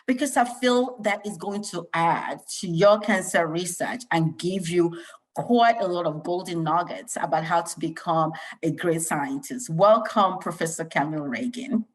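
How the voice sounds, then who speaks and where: Enthusiastic woman host, low voice. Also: unhurried. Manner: enthusiastic, emphatic. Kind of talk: hosting an interview.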